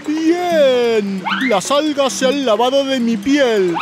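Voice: high-pitched, slow. An actor voicing a big, friendly, plain-spoken polar bear in a children's cartoon. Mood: pleased. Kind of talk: animated children's cartoon dubbing. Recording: music behind the voice.

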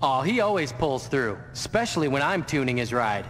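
An actor playing an eager, cocky young tech lieutenant in a video game cutscene, with an excitable manner. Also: male voice, medium pitch, normal speed. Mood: eager, boastful. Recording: loud music behind.

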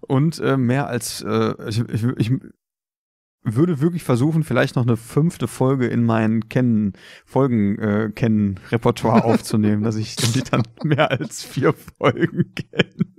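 A man's voice: mid-range voice, a little slow, creaky, darkish tone, no room sound. Rambling man in a dry-sounding room. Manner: rambling, hesitant delivery with repeated words. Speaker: podcast co-host. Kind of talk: casual chat.

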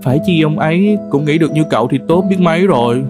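A man's voice: on the high side, fast talker, close to an everyday voice. A man voicing a weary old horse in a cartoon folk tale, plaintive and resigned. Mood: bitter, helpless. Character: weary, despondent old male horse, loyal and cast out. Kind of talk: animated folk tale dialogue. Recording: music under the voice.

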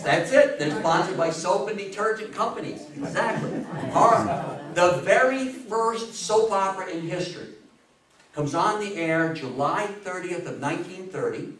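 An American man in his sixties giving an interactive public talk, animated and theatrical, full of rhetorical questions. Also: high-pitched, normal speed, crowd noise. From New York State, US. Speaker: lecturer giving a history talk. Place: small meeting room with seated audience. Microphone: far camera. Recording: echoey room, distant camera microphone.

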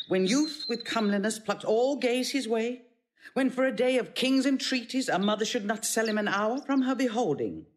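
A woman's voice: fairly low pitch, natural voice. An actress playing a fierce, proud older Roman mother in a Shakespearean audio drama, imperious and declamatory. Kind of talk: classical Shakespearean stage acting.

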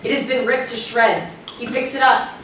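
A woman reads scripted narration in a fairly high voice. She is picked up from a distance in a small, strongly echoing room, with clear noise. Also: normal speed, a bit bright. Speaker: narrator reading stage directions. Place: small performance room with audience, far camera.

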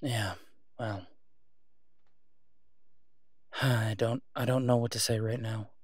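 A devoted, reassuring boyfriend, played by a man in an intimate audio roleplay. He talks softly and conversationally in a mid-pitched male voice, halting as he picks his words. The sound is dry, with no room around it. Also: fairly even intonation, no echo. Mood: worried, hesitant.

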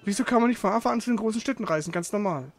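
A man's voice: sits high, rapid-fire. A man chatting casually while streaming a game, grumbling in an exasperated, slangy way. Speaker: gamer streaming a playthrough. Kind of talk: casual gaming chat.

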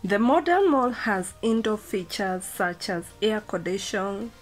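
A young woman narrates a mall tour vlog at a mid pitch. She reads details in a steady listing rhythm and slips into relaxed chat with small fillers. Faint music plays behind her. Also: measured pace, poor audio. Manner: reads facts in a listing cadence, then chats casually with fillers. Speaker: vlogger.

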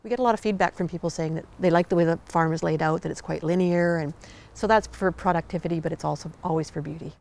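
An older woman, an urban farmer, talks in an earnest, relaxed way with fillers in an outdoor documentary interview. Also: fairly low pitch, quick pace, gravelly. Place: outdoors on a farm. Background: guitar music.

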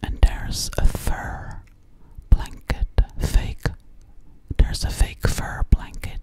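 A sweet, slightly sassy alien girl played by a young woman whispers in a soothing ASMR roleplay. She speaks extremely slowly and breathily, right up close to the microphone, lingering on words and repeating them. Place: small dim living room, indoors. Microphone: close studio microphone with foam cover, binaural.

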